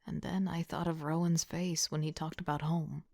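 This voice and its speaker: A woman playing a young team leader who narrates an audio drama in a reflective, softly breathy, introspective way. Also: low voice, flat delivery, moderate pace, no echo, studio quality.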